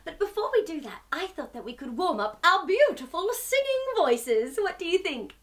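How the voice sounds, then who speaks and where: Animated, high-voiced woman hosting children's storytime. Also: normal speed. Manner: animated, expressive, sing-song, addressing young children. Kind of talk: read-aloud storytelling and chatty children's presenting. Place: small furnished living room, indoors.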